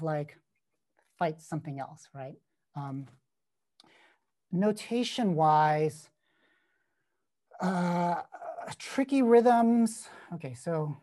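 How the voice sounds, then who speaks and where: A man's voice: a little high, darkish tone. A man critiquing a student's score in an online composition masterclass, talking casually with many fillers and "right?" checks. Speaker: composition teacher giving feedback. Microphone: video call.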